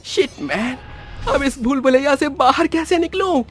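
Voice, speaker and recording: A man acts out a scared young guy trapped in a haunted story world. His voice is very high for a man, urgent and panicky, with music underneath. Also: animated, unhurried. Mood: bewildered fear. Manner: exclamatory, urgent, panicky delivery. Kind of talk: dramatised horror story acting.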